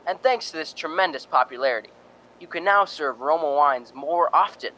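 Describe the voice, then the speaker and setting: A man's voice: a little high, animated, normal speed, pushed and bright, low-quality recording. A man announcing a radio suspense show and its sponsor in an emphatic, old-time broadcast style.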